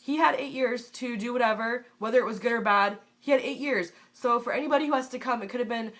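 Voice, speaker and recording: A woman's voice: fairly high pitch, fast, a bit bright. Congested young woman, blunt vlogger. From United States. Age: 20s. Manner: blunt and opinionated, swears freely. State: congested from a cold. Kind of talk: casual Q&A vlog.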